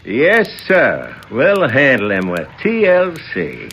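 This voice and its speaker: An actor playing a brassy, sardonic American nightclub owner with a mocking, slangy swagger in a 1970s radio drama. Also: male voice, mid-range voice, huge pitch swings, slow, fairly bright tone, dull audio, a little hiss or hum, full character voice. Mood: breezy, sly.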